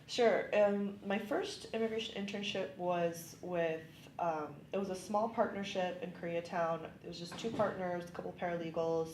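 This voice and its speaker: A woman's voice: fairly low pitch, fairly even intonation, moderate pace, hardly any pauses, a little mellow. A woman from California in her thirties, an immigration attorney chatting upbeat in an interview with many ums and likes. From California, United States. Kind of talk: casual interview conversation. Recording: echoey room, faint background hiss.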